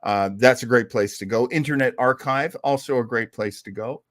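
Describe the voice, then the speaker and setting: A man's voice: medium pitch, moderate pace, fairly bright tone, no room sound, clean studio sound. A man hosting an online show with casual, rambling talk. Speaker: host of an old-time radio show online.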